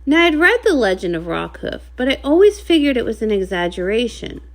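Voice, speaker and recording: A woman reading a scripted storybook aloud as an expressive narrator. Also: fairly high pitch, brisk. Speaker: read-along narrator.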